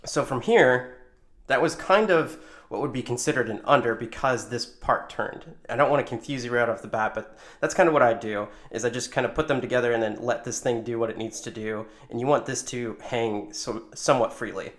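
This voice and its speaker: Man, tutorial presenter, casual instructional style, informal step-by-step explanations, mid-pitched voice. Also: a little fast, a bit bright. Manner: informal, talks through each hand movement step by step. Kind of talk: casual hands-on instructional demonstration.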